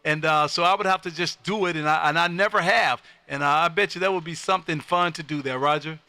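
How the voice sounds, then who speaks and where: An American man hosting amateur radio contacts on a live stream, loud, exuberant and folksy, often repeating his phrases. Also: fairly high pitch, fast, dry recording.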